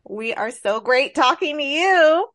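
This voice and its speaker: A woman's voice: high voice, expressive, a little slow, a bit bright. A woman hosting an interview podcast, reading introductions and putting questions to a guest.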